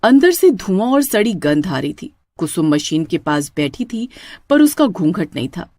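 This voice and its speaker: A woman's voice: fairly low pitch, very animated, normal speed, mellow, dry recording. A woman narrator reading a scripted horror story.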